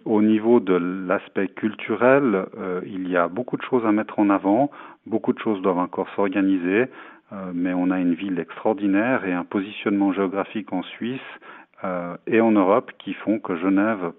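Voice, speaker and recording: Male interviewee, low voice, measured and halting official answers, thin phone-like radio sound. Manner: measured, halting delivery with many hesitations. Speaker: tourism foundation president, interviewee. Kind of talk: interview answers, formal spokesman talk.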